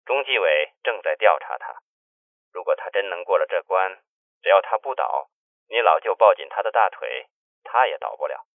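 Male narrator as low, grave man on tinny phone. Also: animated, moderate pace, dry recording. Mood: grave, confiding. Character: grave, secretive older gangster brother warning by phone. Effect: telephone filter. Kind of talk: audiobook character dialogue. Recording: narrow tinny phone-line sound.

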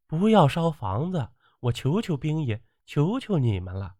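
Man voicing a desperate young village woman, higher-pitched. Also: sing-song, a little slow, a little mellow, no room sound, clean studio sound. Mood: pleading. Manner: pleading, resolute. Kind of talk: audiobook character acting.

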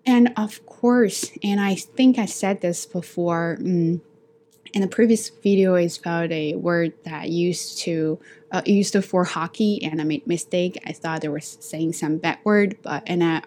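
Lower-voiced woman. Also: a little slow, slight background noise. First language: Chinese. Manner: animated, acts out both sides of dialogues. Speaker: vlogger sharing language-learning stories. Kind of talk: casual storytelling to camera.

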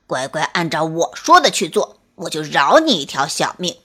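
A woman's voice: low-pitched, big pitch swings, moderate pace, a bit bright, slightly rough, voice pushed down, exaggerated voice. A woman voicing a menacing, threatening big grey wolf in a children's bedtime story. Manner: growling, threatening.

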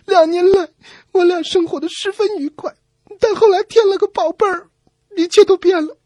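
High, wailing, wronged wife. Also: male voice, moderate pace, warm tone, dry recording. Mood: tearful, aggrieved. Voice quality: high, whiny crying voice. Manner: sobbing, melodramatic. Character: tearful wife complaining in court, wailing dramatically. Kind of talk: comedy radio skit.

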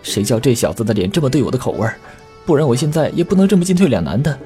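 Lovelorn male shopkeeper for radio drama, played by a man: animated, sighing, easily flustered, muttered asides, clear music bed. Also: mid-range voice, racing, close to an everyday voice. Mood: wistful, grumbling. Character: flustered lovelorn male dessert shop owner, secretly smitten. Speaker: voice actor in an amateur radio drama. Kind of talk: Chinese audio drama acting. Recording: music behind the voice. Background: background music.

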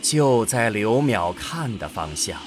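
A man's voice: fairly low pitch, very animated, slow, a little hiss or hum. A man narrating a science fiction radio drama in a scripted, dramatic storytelling style.

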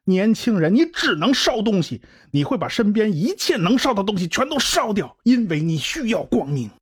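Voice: medium pitch, sing-song, moderate pace, slightly rough, no echo, clean studio sound. An actor in a radio drama playing an intense, alarmed expert who explains a coming doom with emphatic rhetorical questions. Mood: agitated, insistent.

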